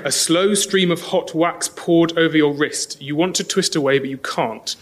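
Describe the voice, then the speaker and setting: A man's voice: a little high, moderate pace. A man on a podcast panel giving a dramatic reading.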